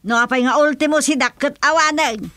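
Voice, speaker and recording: An actress playing a nagging, inquisitive village housewife in a radio comedy drama, with an Ilocano accent and a complaining manner. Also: female voice, high-pitched, brisk, loud music behind the voice, natural voice. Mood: grumbling. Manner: questioning, complaining.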